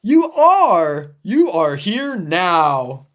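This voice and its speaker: A woman voicing a big, emotional, needy male elephant from a children's picture book, with dramatic, drawn-out delivery. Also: on the low side, sing-song, voice pushed down, heavily acted. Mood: relieved, tender.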